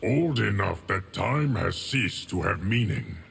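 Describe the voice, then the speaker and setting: An actor voicing a calm, wise, ancient man in a video game, with a gravelly voice. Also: low-pitched, a little slow, slight background noise, heavily acted. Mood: solemn.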